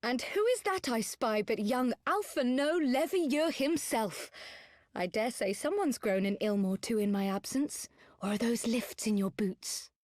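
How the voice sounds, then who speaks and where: A woman's voice: a little high, animated, measured pace, a little mellow, no room sound, studio quality. An actress playing a perky, confident young woman scholar in a fantasy video game, speaking eloquently. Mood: playful, teasing. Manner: teasing, eloquent, crisp formal phrasing.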